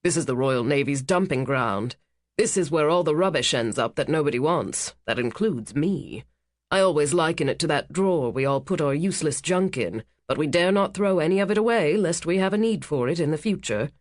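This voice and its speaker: A woman voicing a big, cheerful naval commander who talks loudly and jokes wryly, in a dry close recording. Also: low voice, expressive, a little fast, no room sound. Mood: wry, joking. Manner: loud, hearty and wry.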